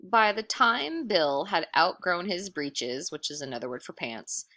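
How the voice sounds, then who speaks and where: A woman who sounds like a teacher, reading a children's story expressively and pausing for chatty explanations. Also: fairly low pitch. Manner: expressive, encouraging, pauses to explain words and pictures. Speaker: teacher reading aloud to pupils. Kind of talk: animated children's read-aloud with chatty explanatory asides.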